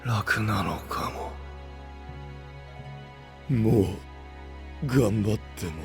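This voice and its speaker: A man playing a rough, brooding young knight in a voice drama, gruff and anguished, proud yet tormented. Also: fairly low pitch, drawn-out words, faint music behind, exaggerated voice. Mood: despairing. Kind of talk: anime-style voice drama acting.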